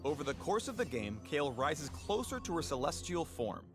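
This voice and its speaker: A man with a slightly high, very animated voice narrates a scripted game showcase. He is dramatic and grandiose, with clear music playing behind him. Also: normal speed. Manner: dramatic, grandiose delivery with playful wordplay. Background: background music.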